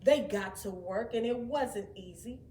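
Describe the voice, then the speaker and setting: A woman reading a children's story aloud in an expressive, deliberate way. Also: medium pitch. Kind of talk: children's read-aloud narration. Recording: echoey room, distant mic, faint noise.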